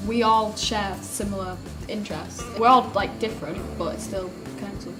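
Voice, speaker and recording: A teenage girl from Malaysia talks in a quick, offhand way. Background music runs under her voice. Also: medium pitch. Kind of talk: casual group interview.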